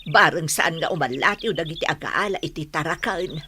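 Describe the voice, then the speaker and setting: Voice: mid-range voice, normal speed, bright, forceful tone, lightly acted. A performer with an Ilocano accent playing a resigned villager in an earnest radio drama conversation. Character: resigned villager talking over troubles with a kinsman. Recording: noisy recording with clicks.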